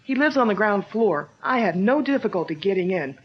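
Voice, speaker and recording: A woman's voice: medium pitch, expressive, brisk, slight background noise, lightly acted. An actress playing an earnest, brave young woman on the trail of her father's killer, in a 1940s-style radio drama. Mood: earnest, urgent. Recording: dull lo-fi old radio recording.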